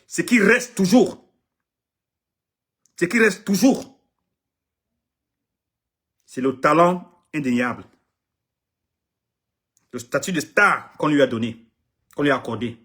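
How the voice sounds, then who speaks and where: A man's voice: a little high, lively intonation, takes it slowly, long pauses, a bit bright, breathy edge. A middle-aged man from Cameroon, an artist manager whose first language is English, speaking French in an impassioned public address, emphatic and repeating himself, often stumbling over his words.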